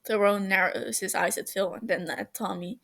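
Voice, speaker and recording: A woman narrating a story as an amateur reader, halting a little, with small stumbles and self-corrections. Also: mid-range voice, steady tone, vocal fry, fairly bright tone. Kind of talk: storybook-like narration of fan fiction.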